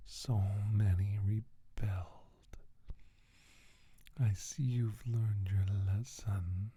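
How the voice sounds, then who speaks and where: A man playing a menacing, condescending alien scientist in a slow, soft-spoken ASMR roleplay, with a breathy, husky near-whisper and deliberate, halting phrases. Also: lowish voice, fairly even intonation, no room sound, full character voice. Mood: condescending musing, then quietly threatening.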